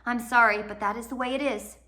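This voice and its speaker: A woman's voice: on the high side, steady tone, a little fast, pushed and bright. A woman voicing a flustered, officious man, a blustering guardian, in a storybook reading. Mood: firm, apologetic.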